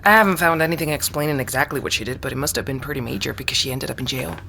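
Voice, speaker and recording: Woman investigator for audio drama: deep, gravelly edge, earnest and intent, faint background noise. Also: racing. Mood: intent, suspicious. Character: earnest, suspicious woman amateur investigator confiding findings. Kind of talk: naturalistic audio drama dialogue.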